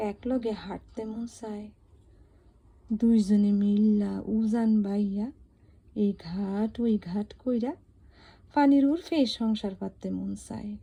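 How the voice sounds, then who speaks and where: A woman with a rural eastern Bangladeshi accent recites poetry in a soft, husky, wistful and intimate, pleading way. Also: medium pitch. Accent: rural eastern Bangladeshi dialect. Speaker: poet reciting own poem. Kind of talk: dramatic poetry recitation in dialect.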